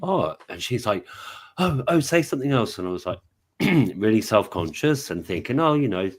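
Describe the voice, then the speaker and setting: A man with a British accent tells a story to a panel in a fairly low voice. He is animated and puts on the voices of the people he quotes. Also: moderate pace. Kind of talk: casual storytelling.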